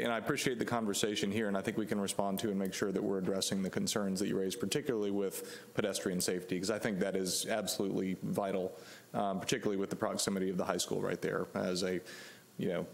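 Hoarse man in his forties, lowish voice, podium microphone in a council chamber, formal and measured with fillers. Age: 40s. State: hoarse from laryngitis. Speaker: attorney presenting an application. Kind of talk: formal public presentation.

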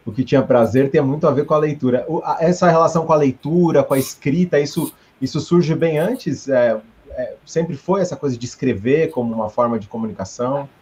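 A man in his thirties hosting an interview over a video call, asking halting, thoughtful follow-up questions from a study at home. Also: mid-range voice, a little mellow, husky. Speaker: host. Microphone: earphone headset. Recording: dull lo-fi video call audio.

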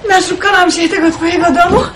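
A woman's voice: extremely high pitch, steady tone, fast talker, background noise. A woman playing an urgent, persuasive young woman in a radio drama, talking with breathless laughter. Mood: cheerful.

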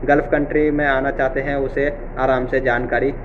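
A man's voice: medium pitch, level tone, moderate pace, dull audio, noisy background. A young man hosting a job-vacancy channel, explaining things casually and repeating reassuring phrases, recorded in an indoor office room on a webcam. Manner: repetitive, reassuring, frequent filler "theek hai".

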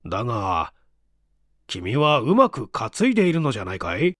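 A man's voice: mid-range voice, very animated, moderate pace, fairly bright tone, no echo, clean studio sound, pitched below the performer's own voice. A man voicing an uneasy, self-deprecating, forgetful man, hesitant and musing, in a dramatic audiobook reading. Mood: doubtful.

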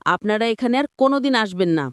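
A woman's voice: medium pitch, lively intonation, quick pace, smooth, no room sound, clean studio sound, natural voice. A woman voicing a defiant village farm woman in a cartoon, scolding and firm as she guards her land. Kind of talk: cartoon voice acting.

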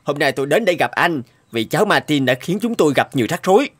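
A man's voice: fairly high pitch, very animated, quick pace. A man voicing a polite, formal middle-aged postman in a Vietnamese cartoon, courteous and matter-of-fact. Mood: formal complaint.

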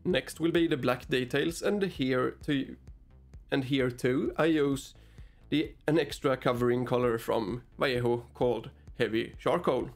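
A Swedish-born man in his thirties talks through a painting tutorial in fluent English, tossing in deadpan sarcasm. His voice sits at an ordinary middle pitch, and soft music plays faintly under him. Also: measured pace. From Sweden. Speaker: miniature painting channel host. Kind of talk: dry, joking tutorial narration. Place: small dim hobby room, indoors.

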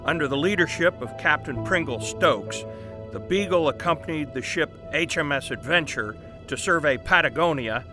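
An actor playing a brisk, persuasive newspaper boss in an audio drama, confident and explanatory. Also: male voice, on the high side, over music, natural voice. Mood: brisk enthusiasm. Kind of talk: family audio drama acting.

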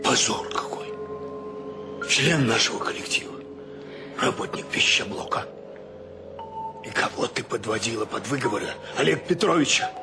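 An actor playing a fussy, excitable ship's captain and exasperated uncle in a radio play, scolding and stammering when agitated. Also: male voice, mid-range voice, close to an everyday voice. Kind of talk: Russian radio play acting.

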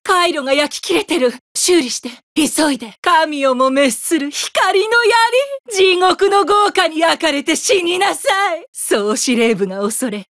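An imperious woman who rules a satellite superweapon in a video game. Her voice sits fairly high and swings widely in pitch, captured close and dry. She is haughty and theatrical, giving orders with grandiose self-worship. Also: lively intonation, a little slow, hardly any pauses, a bit bright, no room sound, exaggerated voice. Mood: urgent, then megalomaniac, gloating. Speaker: military satellite weapon operator. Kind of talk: dramatic Japanese video game voice acting.

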